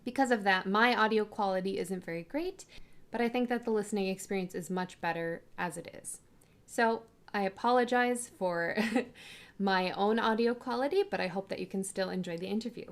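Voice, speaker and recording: Woman hosting a podcast, careful and clear. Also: medium pitch. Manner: slow careful spelling-out of foreign words. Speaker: podcast host. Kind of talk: scripted podcast intro and language lesson.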